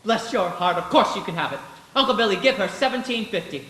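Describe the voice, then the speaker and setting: A man playing an earnest, excitable young banker with a stammering, folksy manner in a live radio play. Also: high-pitched, brisk. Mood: relieved, kindly. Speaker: stage actor. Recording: echoey stage with faint noise.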